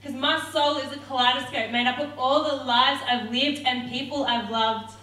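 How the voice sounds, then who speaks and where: A woman's voice: sits high, fairly even intonation, normal speed, sharp tone. A young woman performing spoken word poetry with a rhythmic, defiant delivery. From Australia. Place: theatre stage. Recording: roomy hall, mic at a distance.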